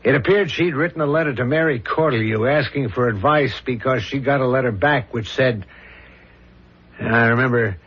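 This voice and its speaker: Guy acting a dogged serious detective, bit raspy, muffled 70s radio drama sound, asks stuff slow and careful. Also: medium pitch, natural voice. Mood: pondering, determined. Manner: serious, probing, deliberate. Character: earnest, dogged male police homicide inspector. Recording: dull lo-fi old radio recording.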